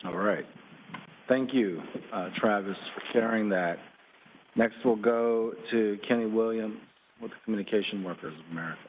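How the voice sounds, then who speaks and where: Moderator, lowish male voice, formal and brief, distant tinny audio with faint clicks. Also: normal speed, a little hiss or hum. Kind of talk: introducing speakers.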